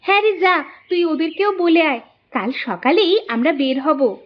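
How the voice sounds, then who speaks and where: A woman's voice: very high-pitched, expressive, measured pace. A woman voicing a bossy, curious young village girl, a big sister in a cartoon, with a Bangladeshi accent. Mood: curious, eager. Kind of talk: animated cartoon dialogue. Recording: dull lo-fi audio.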